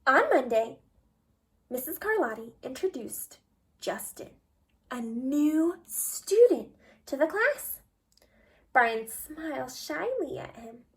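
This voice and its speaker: High-voiced woman reading a picture book aloud. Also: sing-song. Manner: slow, deliberate, asks guiding questions. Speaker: children's read-aloud storyteller. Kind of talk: expressive picture-book read-aloud with questions to young listeners.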